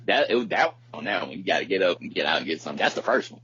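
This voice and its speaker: A man's voice: sits low, lively intonation, racing, sharp tone, breathy edge. A man co-hosting a podcast in casual slang, often repeating his phrases as he goes. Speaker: podcast co-host. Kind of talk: casual chat. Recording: muffled lo-fi audio with hum, hiss.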